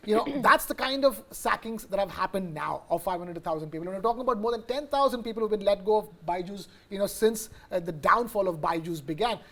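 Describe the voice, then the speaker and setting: A man with an Indian accent giving unscripted live news commentary, haltingly, repeating words as he explains. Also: high voice, lively intonation, fairly bright tone. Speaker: news correspondent analyst.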